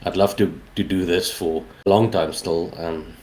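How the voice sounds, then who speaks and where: Deep-voiced South African man, noisy recording. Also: steady tone, moderate pace, a little mellow. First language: Afrikaans. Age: 40s. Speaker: interview guest, indie game developer. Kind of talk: casual interview answers.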